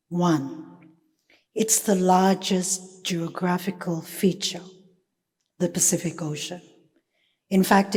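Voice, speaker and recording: A woman from Fiji giving a thoughtful conference talk, with many pauses and fillers. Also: mid-range voice, a little mellow, slightly rough. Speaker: panel speaker. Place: conference hall. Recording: reverberant conference hall.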